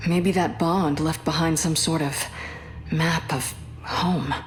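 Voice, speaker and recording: Low-voiced, resolute heroine. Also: female voice, fairly even intonation, slow, slight background noise. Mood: pensive. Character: composed, resolute, battle-minded heroine. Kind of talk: video game character dialogue.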